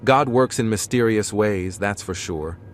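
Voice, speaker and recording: A man's voice: on the low side, unhurried, lightly acted. A man playing an earnest, reflective starship captain and devoted son in a fan audio drama. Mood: reflective, regretful. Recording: faint background noise.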